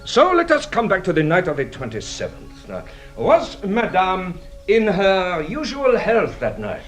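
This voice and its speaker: A sly, courtly police inspector from a radio mystery. His pitch swoops wildly as he teases and probes with great deliberation. Music plays along with the drama. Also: wildly swooping pitch, exaggerated voice. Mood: probing. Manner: courtly, teasing, deliberate questioning. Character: theatrical, playfully sly French police inspector. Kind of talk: British radio drama acting.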